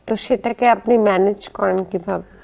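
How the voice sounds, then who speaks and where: A woman's voice: mid-range voice, steady tone, moderate pace, vocal fry, darkish tone. A Bangladeshi woman hosting a conversational interview, asking questions in an informal, inquisitive way.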